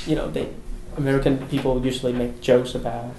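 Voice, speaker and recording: A young man, an international student, speaking English thoughtfully in an interview with soft laughs. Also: level tone, a little fast. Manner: thoughtful, gestures, laughs softly. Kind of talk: casual interview. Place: college room by windows. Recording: echoey room with faint rumble.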